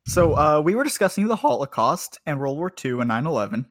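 Man, podcast co-host, lively pitch swings, higher voice, profane, teasing, casual storytelling. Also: a little fast, dry recording, studio quality.

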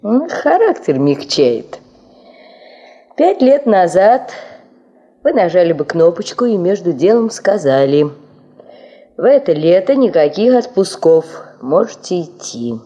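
Wry, mellow middle-aged secretary. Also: female voice, lowish voice, very animated, a little slow, highs cut off. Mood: teasing, familiar. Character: wry, familiar middle-aged female office secretary, self-mocking. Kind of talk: radio play acting.

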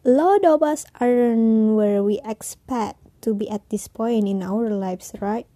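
A woman's voice: fairly high pitch, unhurried, mellow, dry recording. A woman in her twenties with an Indonesian accent, hosting a casual personal podcast in hesitant, non-native English. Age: 20s. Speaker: podcast host.

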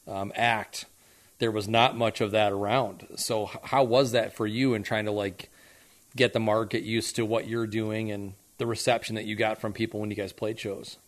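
A man hosting a podcast, asking a guest questions in a chatty, teasing way. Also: fairly low pitch. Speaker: podcast host. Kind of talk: casual interview chat.

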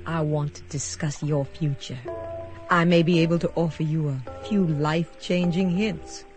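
A woman's voice: low-pitched, fairly even intonation, music in the background, close to an everyday voice. An actress playing a mysterious, serene clairvoyant woman who teases and coaxes cryptically in a radio drama. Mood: serene, teasing. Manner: slow, cryptic, coaxing.

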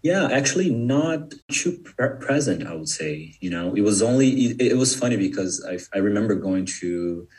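Man, low voice, distant mic, Portuguese speaker, casual podcast guest, hesitant phrasing. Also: animated, moderate pace, few pauses, darkish tone. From Brazil. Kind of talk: casual personal interview.

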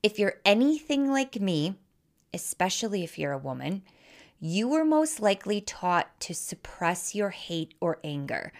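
Female podcast coach, Midwestern US accent, mid-pitched, slow-paced, informal and emphatic. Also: big pitch swings. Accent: American Midwestern. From Wisconsin, American Midwest. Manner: candid, informal, emphatic, casually sweary. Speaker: life coach, podcast host. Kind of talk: conversational podcast monologue, persuasive.

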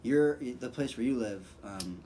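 A man's voice: medium pitch, lively intonation, measured pace, mellow. Casual male podcast host over background noise. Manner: rambling, profane. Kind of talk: casual chat, storytelling.

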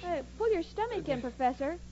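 Very high-pitched ditzy young woman, old radio. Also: lively intonation, a little fast. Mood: earnest, busy. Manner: guileless, earnest, hesitant. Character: sweet, naive, dim-witted young woman, chipper scatterbrain. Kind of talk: 1940s radio comedy acting. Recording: dull, noisy old radio recording.

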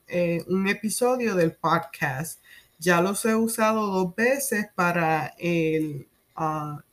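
A Puerto Rican woman in her thirties hosting a solo podcast, speaking haltingly with fillers and soft laughs. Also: medium pitch. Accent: Puerto Rican Spanish. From Puerto Rico. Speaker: podcast host.

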